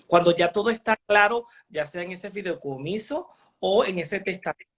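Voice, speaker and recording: A journalist from Venezuela asking an expert long, winding questions with many hesitations. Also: male voice, sits high, normal speed, a bit bright. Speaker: journalist interviewer. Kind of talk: interview questions.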